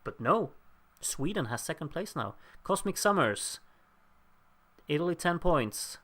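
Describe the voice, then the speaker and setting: A man's voice: on the high side, sing-song, measured pace, fairly bright tone. Chatty man commentating a live watchalong. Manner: chatty, wry, reading out viewer names and laughing at himself. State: losing his voice. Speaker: livestream host. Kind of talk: casual live commentary on scoreboard voting.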